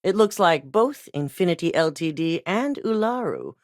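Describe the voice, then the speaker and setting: Cool, authoritative game megacorp CEO, lowish lively voice, dry studio read, played by a woman. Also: normal speed, no echo, close to an everyday voice. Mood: controlled. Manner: curt, authoritative, businesslike. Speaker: corporate CEO. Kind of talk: video game voice acting.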